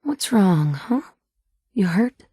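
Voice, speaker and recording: A woman's voice: medium pitch, very animated, slow pace, mellow, husky, no room sound, studio quality, heavily acted. A woman voicing a determined young mother who searches a ruined world for her kidnapped son, blunt and dryly sarcastic, recorded as video game dialogue. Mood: sardonic. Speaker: player character voice actress. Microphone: studio mic.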